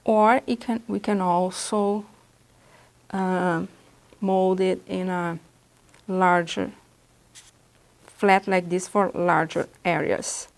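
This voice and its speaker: A woman from Brazil whose first language is Portuguese, speaking with a Brazilian Portuguese accent as she patiently demonstrates drawing supplies in a beginner art lesson, pausing often as she explains. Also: on the low side, fairly even intonation, creaky. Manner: patient, halting explanations with pauses. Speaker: art instructor.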